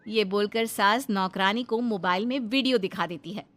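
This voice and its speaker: A woman's voice: medium pitch, moderate pace, a bit bright, faint music behind. A woman as narrator, reading a scripted comic story.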